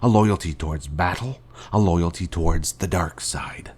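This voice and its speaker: A very deep male voice carries an actor's performance of a grave fallen-order Jedi mentor. He is solemn and deliberate, posing weighty rhetorical questions in the scripted style of a dramatic video game. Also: darkish tone, husky. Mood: grave, probing. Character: grave former Jedi Master confronting his fallen Padawan. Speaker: game character.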